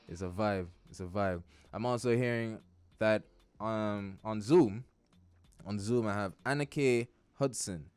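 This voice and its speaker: A man's voice: lowish voice, clear, resonant tone. A man with a Jamaican accent hosting a radio talk show from a studio, joking and chatty, full of slang. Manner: lively, joking, animated patter with slang.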